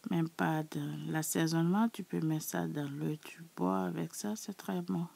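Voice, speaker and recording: A woman with a sore, hoarse throat, chatting casually to her subscribers as she walks through a recipe in her kitchen. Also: sits low, fairly even intonation, unhurried, a little mellow. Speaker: cooking channel host. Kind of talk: casual cooking tutorial.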